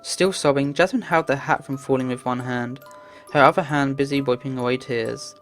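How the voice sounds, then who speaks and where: A woman's voice: very deep voice, moderate pace. A woman narrating a visual novel as a read-aloud story, voicing the dialogue herself. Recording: music behind the voice. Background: music.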